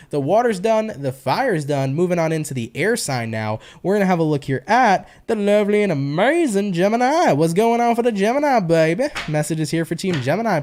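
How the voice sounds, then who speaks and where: A man's voice: high-pitched, darkish tone, slight background noise. A man from New York with a New York accent, giving a casual tarot reading in a chatty, slangy way and swearing casually. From New York, USA. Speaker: tarot reader, online host.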